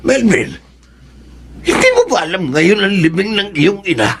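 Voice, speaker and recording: An actor playing a talkative, folksy taxi driver in a Filipino radio drama, with a Filipino accent. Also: male voice, high voice, normal speed, slight background noise, heavily acted. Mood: alarmed, urging. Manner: folksy, animated, chatty. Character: friendly, talkative male taxi driver, family acquaintance.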